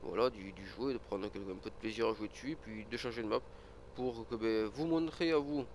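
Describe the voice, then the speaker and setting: A man's voice: on the low side, a little fast, darkish tone, a little hiss or hum. A man with a cold talking casually over his farming game, rambling with many fillers and restarts. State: has a cold, sniffling. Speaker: gaming video creator. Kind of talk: casual gameplay commentary.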